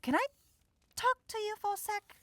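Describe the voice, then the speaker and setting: A woman's voice: very high voice, lively intonation, normal speed, sharp tone, no echo, heavily acted. A woman playing an anxious, polite demon shopkeeper sister in a fantasy ASMR audio roleplay, speaking earnestly and placatingly. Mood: worried.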